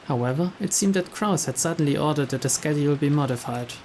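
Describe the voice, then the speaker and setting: A man narrating a visual novel playthrough, reading the story aloud in a measured way with small stumbles. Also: a little high, darkish tone. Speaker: narrator, game playthrough host. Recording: faint rain noise behind.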